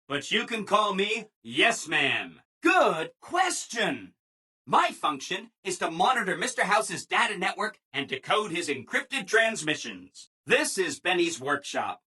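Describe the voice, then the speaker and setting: Cheerful robot helper, video game, bright forceful tone, very animated pitch, over-polite, perky, eager to please. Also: male voice, measured pace, slightly rough, exaggerated voice. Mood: chipper explaining. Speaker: voice actor. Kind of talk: video game character dialogue.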